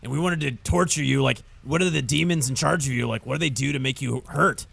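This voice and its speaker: A man playing an exasperated, embarrassed demon son with a gravelly voice, whiny and hesitant, in improvised comedy. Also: medium pitch, quick pace, fairly bright tone, exaggerated voice. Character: mortified, exasperated young demon son from hell.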